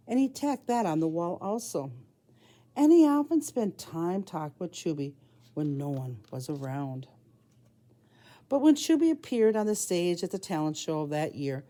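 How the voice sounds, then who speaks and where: A woman with a slightly low, soft-edged and mellow voice reads a storybook to young children. She is a preschool teacher, and she phrases things simply and deliberately, in short chunks that small listeners can follow.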